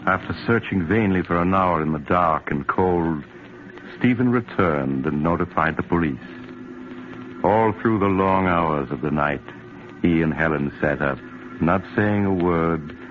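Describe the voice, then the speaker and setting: Deep guy narrator, old time radio mystery, grave measured storytelling, music behind, lofi sound. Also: lo-fi sound. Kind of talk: scripted dramatic narration, 1950s radio. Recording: band-limited vintage radio recording. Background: dramatic music under the voice.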